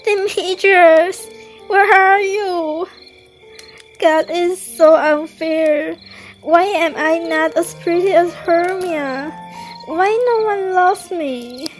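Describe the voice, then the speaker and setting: A woman's voice: extremely high pitch, very slow, faint music behind, exaggerated voice. A woman playing a lovesick, self-pitying young woman in an amateur radio drama, plaintive in manner. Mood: forlorn, searching.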